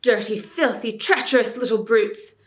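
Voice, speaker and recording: A woman voicing an angry schoolboy in a storybook, shrill and furious. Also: steady tone, fast, close to an everyday voice.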